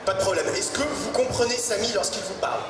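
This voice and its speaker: High-voiced man presenting in echoing noisy hall. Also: expressive, fast talker, loud music behind the voice. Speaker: event host welcoming a guest. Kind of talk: live stage presenting. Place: large indoor convention stage. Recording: distant echoey hall PA, loud crowd noise.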